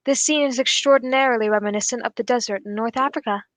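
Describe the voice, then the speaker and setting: A woman's voice: fairly high pitch, fast talker, dry recording, close to an everyday voice. A woman playing a keen, assured male English detective in a radio drama, speaking in a formal, analytical way.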